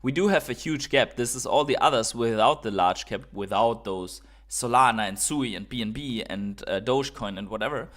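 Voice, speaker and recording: A man's voice: medium pitch, normal speed, hardly any pauses, fairly bright tone. A man from Germany, a native German speaker with a German accent, hosting a live stream with enthusiastic, informal market commentary and chat. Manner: enthusiastic, informal, reading out and answering viewer messages.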